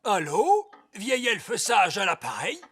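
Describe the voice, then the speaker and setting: An actor voicing a brisk, self-assured elderly elf in a French cartoon dub, theatrical and knowing. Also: mid-range voice, wildly swooping pitch, fairly bright tone, slight background noise, heavily acted.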